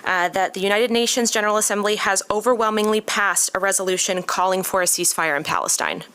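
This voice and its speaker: An American woman from Michigan delivering a prepared public comment as a resident, measured and earnest. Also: mid-range voice, bright, forceful tone, smooth. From Michigan, United States. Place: city commission meeting room.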